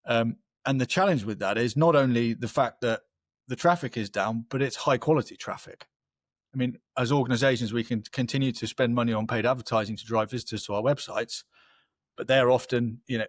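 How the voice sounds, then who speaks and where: A man's voice: mid-range voice, brisk, no room sound. A man speaks in a mid-range voice, explaining things like a marketing expert on a webinar. His delivery is conversational and he often checks in with the listener. The sound is close and dry, with little room in it.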